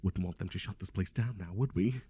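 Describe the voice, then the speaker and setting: A man's voice: low voice, brisk, dark tone, slightly rough. A man playing a flippant, scatterbrained matchmaking agency boss in an ASMR roleplay, rambling offhandedly and muttering asides to the listener. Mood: blasé, darkly comic. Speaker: voice actor.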